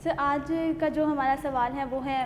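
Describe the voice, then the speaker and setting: High-voiced woman hosting in an echoey room. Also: flat delivery, unhurried. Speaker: host of a literary talk show. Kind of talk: formal scripted introduction and questioning. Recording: echoey reverberant room, clear background noise.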